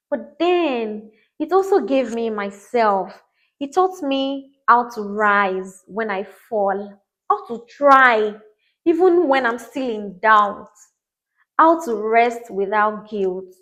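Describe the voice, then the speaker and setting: A woman's voice: a little high, rough recording. An earnest woman hosting her podcast. She talks in an encouraging, reflective way and often repeats words for emphasis. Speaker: podcast host. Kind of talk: reflective motivational talk.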